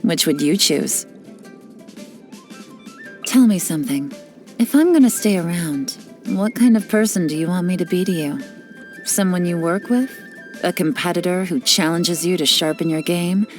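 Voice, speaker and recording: An actress playing a cool, cunning female spy and information broker, speaking with confident, sly, measured delivery in game voice lines. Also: on the low side, faint music behind, natural voice. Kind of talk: scripted character voice lines.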